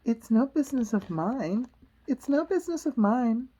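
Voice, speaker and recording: A woman's voice: a little high, expressive, moderate pace, mellow, breathy edge, pitched above the performer's own voice. A woman voicing a young storybook girl who is polite but bold and decided.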